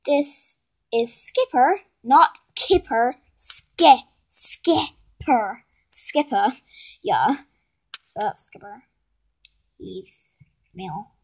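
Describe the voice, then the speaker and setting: A woman's voice: a little high, lively intonation, drawn-out words, long pauses. A young woman giving a casual home video tour of her toy horse barn, hesitant and often correcting herself, filmed on a handheld phone indoors. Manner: hesitant, self-correcting, many ums. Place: carpeted room at home, indoors. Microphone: handheld phone camera.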